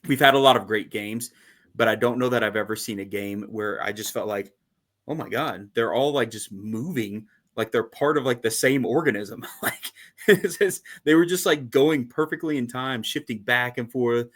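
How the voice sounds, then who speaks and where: A man co-hosting a fan podcast in a casual, animated chat, laughing often and using lots of fillers. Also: mid-range voice, normal speed. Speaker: podcast co-host.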